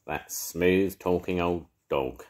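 A man's voice: deep voice, slow. An older man voicing a sly, grumbling male cat in a storybook reading. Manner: sneering, sarcastic. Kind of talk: storybook read-aloud.

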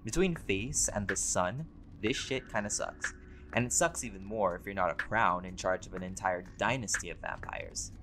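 A man's voice: low voice, very animated, normal speed, background noise. Man acting as laid-back, wry centuries-old vampire. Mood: wry, boastful. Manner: casual, wry, sweary, bantering. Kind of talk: conversational roleplay audio drama.

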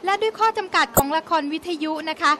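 A woman's voice: extremely high pitch, fast talker, pushed and bright, slight background noise. A woman narrating a television news feature from a script in a polite, polished presenter manner.

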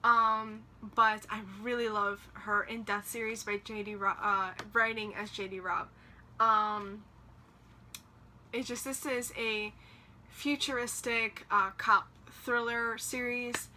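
A woman's voice: a little high, steady tone, slow, sharp tone, slight background noise. A young woman chatting casually to camera about her reading plans, rambling with lots of fillers, recorded in a small room by her bookshelves. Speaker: book vlogger.

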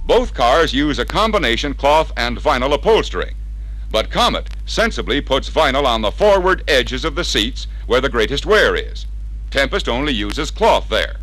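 An American man narrating a scripted 1960s car sales training film in an emphatic, persuasive, crisply enunciated way. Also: medium pitch, fairly bright tone, low-quality recording. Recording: vintage 1961 training record sound.

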